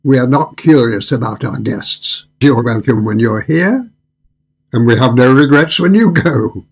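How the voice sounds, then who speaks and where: An actor playing a suave, faintly sinister restaurant owner in a radio drama, with a smooth, purring, deliberately polite and husky delivery. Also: male voice, mid-range voice, lively intonation, full character voice. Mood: smooth, assured. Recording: music under the voice.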